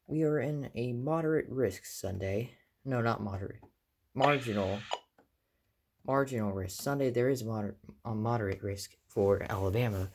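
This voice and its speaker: A man's voice: medium pitch, darkish tone. A man giving a casual, rambling show-and-tell as a hobbyist vlogger, offhand and full of detail.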